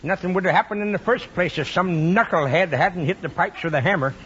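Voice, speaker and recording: An actor playing a blunt, breezy garage mechanic in old-time radio sitcom style. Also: male voice, sits high, brisk, lightly acted. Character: brisk, plain-spoken male garage mechanic. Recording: muffled, very noisy vintage radio recording.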